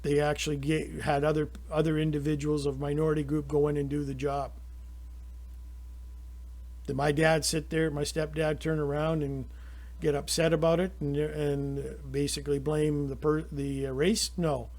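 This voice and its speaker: A man's voice: a little high, flat delivery, normal speed, darkish tone. A middle-aged man with a Canadian accent, talking through his opinions in a rambling, indignant monologue full of restarts. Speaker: channel host.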